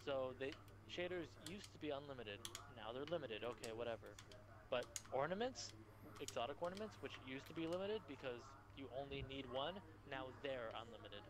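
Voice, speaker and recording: A young man chatting in a gaming party, animated and quick to laugh. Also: mid-range voice, crowd noise. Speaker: player in party chat. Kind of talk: casual gaming chat. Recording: noisy voice-chat line.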